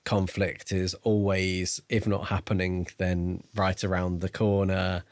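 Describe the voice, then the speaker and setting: Guy with a slightly low voice chatting about books, measured and informal, super dry studio-ish sound. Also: level tone, no room sound. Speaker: book podcast co-host. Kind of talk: casual book discussion.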